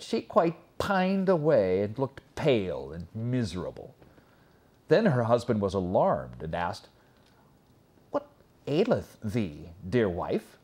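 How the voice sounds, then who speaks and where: Male storyteller for fairy-tale narration, mid-pitched, highly animated, dramatic reading with pauses.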